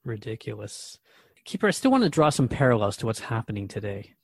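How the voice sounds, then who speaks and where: A man's voice: on the low side, very animated, fairly quick, vocal fry, husky, no echo. A man acting as a radio host in a drama, asking questions in an earnest, interviewing manner.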